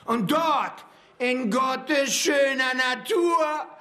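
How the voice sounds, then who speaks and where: An actor in a German radio drama playing a boastful, ruthless western killer, speaking with sneering, emphatic scorn. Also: male voice, very high-pitched, drawn-out words, pushed and bright, exaggerated voice. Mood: scornful, boastful. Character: boastful, ruthless old-west hired killer.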